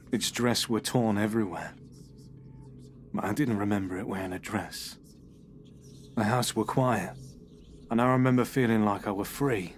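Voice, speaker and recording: An actor playing a troubled, unwell man who confesses to the police in a halting, hushed voice with nervous laughs. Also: fairly low pitch, a little hiss or hum, close to an everyday voice. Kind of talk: audio horror drama.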